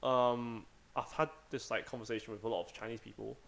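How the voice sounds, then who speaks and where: Relaxed young man, chatty podcast co-host. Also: mid-range voice, brisk, gravelly. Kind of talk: casual chat.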